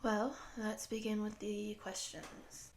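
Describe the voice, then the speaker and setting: A woman playing a polite, earnest program interviewer in a scripted roleplay, speaking softly and breathily in a measured, formal, official manner. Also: medium pitch, level tone, slight background noise. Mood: polite, explanatory. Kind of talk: soft-spoken scripted roleplay audio.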